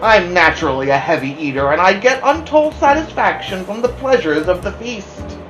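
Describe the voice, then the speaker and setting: A woman's voice: on the low side, lively intonation, moderate pace, a bit bright, muffled recording, faint music behind, pitched above the performer's own voice. Woman voicing a sneering, gluttonous fat rat. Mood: sneering, smug. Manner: sneering, indulgent. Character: gluttonous sneering old rat, male, fat and self-satisfied. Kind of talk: children's storybook character reading.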